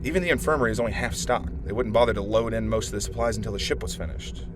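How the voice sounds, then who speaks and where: A man's voice: lowish voice, rapid-fire, noisy background, close to an everyday voice. An actor playing an earnest, logical spaceship crewman in a science fiction audio drama, literal and questioning. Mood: reasoning. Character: Adam, earnest, reasoning male spaceship crewman.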